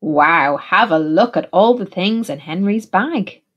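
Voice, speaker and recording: A woman teacher reading a picture book aloud in an expressive, deliberate way for young children. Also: mid-range voice, highs cut off. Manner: animated, deliberate, puts questions to young listeners. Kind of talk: expressive storybook narration for children.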